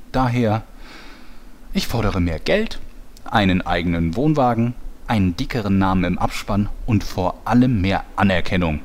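A man's voice: lowish voice, lightly acted. A man playing a sulky, aggrieved narrator making demands in a parody audio drama. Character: disgruntled male storyteller on strike, sulky and demanding.

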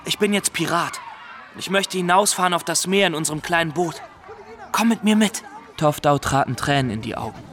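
Actor as an earnest, higher-voiced young pirate storyteller. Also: male voice, a little fast, fairly bright tone, some chatter behind, a little hiss or hum, close to an everyday voice. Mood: solemn, earnest. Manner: earnest, formal, addresses listener directly. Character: earnest young Somali graduate turned pirate, naively solemn storyteller. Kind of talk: radio play first-person storytelling.

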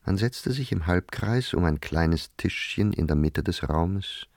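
Low-voiced male radio drama narrator. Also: lively intonation, a little slow, darkish tone, no echo, clean studio sound.

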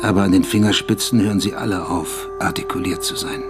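A man reading a scripted, literary essay as narrator in a measured manner. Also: low voice, steady tone. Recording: loud music bed under narration.

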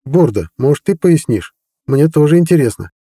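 A man's voice: on the high side, animated, moderate pace, warm tone, slightly rough, no room sound, voice pushed up. A man voicing a cautious, curious companion.

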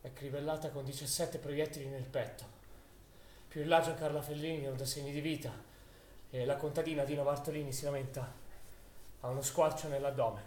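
An actor playing a grave chronicler of a wartime massacre in a dramatic stage narration. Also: male voice, mid-range voice, steady tone, brisk, a little mellow, lightly acted. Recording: roomy stage sound, faint noise.

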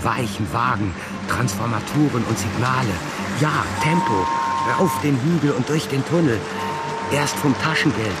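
A man's voice: mid-range voice, very noisy, close to an everyday voice. An actor in a German radio play voicing a frustrated, brooding middle-aged husband who mutters to himself about his model trains. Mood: excited.

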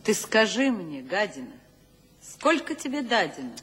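A woman's voice: mid-range voice, big pitch swings, unhurried, a bit bright, husky, exaggerated voice. An actress playing an anguished, scornful educated lady in a theatrical radio drama. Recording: hall reverb, faint noise.

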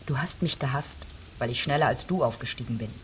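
A woman's voice: very deep voice, a little fast. An actress playing a cold, resentful female judge in a German radio drama, cutting in manner. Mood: bitter. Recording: rainstorm noise over the voice. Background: rain, thunder.

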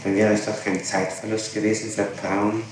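A man's voice: fairly low pitch, little pitch movement, moderate pace. A man putting formal, probing questions as an interrogator in a recorded interrogation. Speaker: police interrogator. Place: echoey interrogation room. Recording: very noisy, echoey archival tape.

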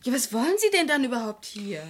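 An actress playing a well-bred, direct niece in an old German radio crime drama, politely pointed and questioning. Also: female voice, high-pitched, big pitch swings, fast.

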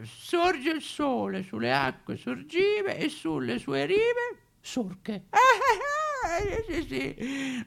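A man's voice: very high voice, creaky, fairly bright tone, heavily acted. A male actor playing a lonely, rambling drunk tramp with a Roman accent in a theatrical comic monologue. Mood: wistful, dreamy. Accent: Roman Italian. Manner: rambling, halting, outbursts of swearing and laughter. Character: lonely toothless old male drunk vagrant, rambling and wistful.

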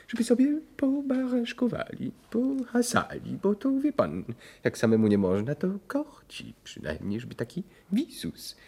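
An actor playing a sly, excitable fallen count, telling things floridly and theatrically in a radio play, dropping into whispers. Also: male voice, medium pitch, breathy edge, exaggerated voice. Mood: conspiratorial.

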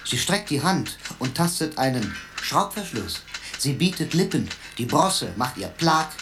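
Ein Mann spielt in einem Hörspiel einen dichtenden Computer, der gemessen und pathetisch Verse deklamiert. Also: male voice, medium pitch, very animated, vocal fry, background noise. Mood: amorous, plaintive. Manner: declamatory, measured verse delivery. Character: versifying computer, a male machine reciting bombastic, amorous poetry. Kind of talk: declaimed verse in radio drama.

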